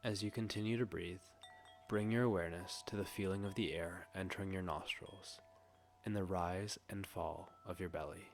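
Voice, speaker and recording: Low-voiced man guiding a meditation. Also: steady tone, faint music behind. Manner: gentle, soothing, hushed delivery with long pauses. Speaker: meditation guide. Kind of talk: scripted guided meditation.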